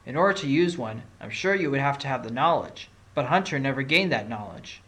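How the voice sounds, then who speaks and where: A man's voice: mid-range voice, expressive, brisk, a bit bright, close to an everyday voice. A man playing a confident fox defence lawyer with an assertive, probing courtroom manner in an audio drama. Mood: assured.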